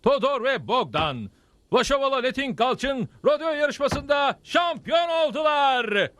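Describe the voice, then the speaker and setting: A man voicing an excitable small-town rodeo announcer in a cartoon, with showy, shouty commentary. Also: very high voice, unhurried, background noise, heavily acted. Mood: excited. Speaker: contest announcer. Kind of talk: Turkish cartoon dub, commentary. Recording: loud music behind the voice.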